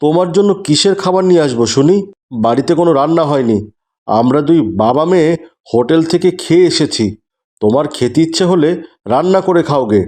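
Cartoon husband and father played by a man: devout, stern, exasperated, slightly high voice, melodramatic. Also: animated, a little fast, a little mellow, close to an everyday voice. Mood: scolding. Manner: scolding, exasperated, pleading.

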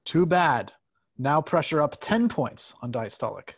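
Man acting measured, nagging health gadget. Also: on the high side, big pitch swings, noisy background. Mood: clinical, nagging. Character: nagging smart-home health monitor. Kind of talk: online play reading.

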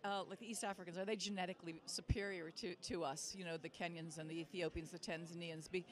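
A woman's voice: fairly low pitch, steady tone, quick pace. A woman in a documentary interview, musing aloud with many restarts.

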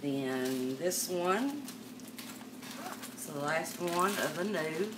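Older woman host, low voice, chatty live-sale patter, webcam a bit far off with room noise. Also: lively intonation, very slow. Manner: chatty, calls viewers darling and miss. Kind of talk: live sales stream, chatting to viewers. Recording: distant mic with background noise.

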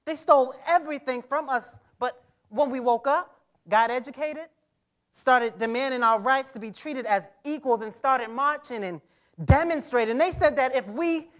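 A man's voice: extremely high pitch, moderate pace, a bit bright. A young man playing a proud, bitter teenage boy from 1950s America in a staged reading, emphatic with an occasional stammer. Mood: indignant. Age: young adult. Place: theatre stage before a seated audience. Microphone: clip-on lapel mic.

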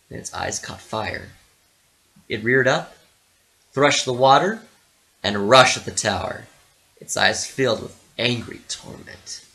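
A man narrating a literary story dramatically, with theatrical, deliberate phrasing. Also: mid-range voice, pushed and bright, poor audio.

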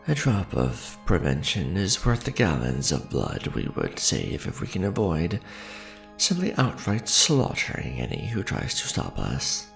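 Ancient vampire lord, played by a man for ASMR roleplay: imperious, gravelly and low, with sweeping, dramatic pitch swings. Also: full character voice. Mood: weary, affectionate. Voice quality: gravelly, creaky. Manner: formal, grandiose, measured, dramatic. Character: centuries-old male vampire lord, imperious, aristocratic, tender to his beloved.

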